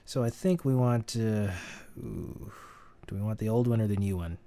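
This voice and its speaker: A man hosting a casual audio editing tutorial, chatting in a relaxed way and laughing at himself. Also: fairly low pitch, normal speed, vocal fry, dry recording. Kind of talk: casual screen-recorded tutorial.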